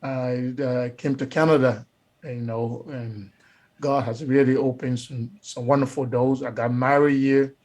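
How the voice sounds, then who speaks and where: A man's voice: mid-range voice, little pitch movement, a little slow, darkish tone. A Liberian man, a pastor and guest, talking earnestly in a casual interview with repeated words and uh fillers.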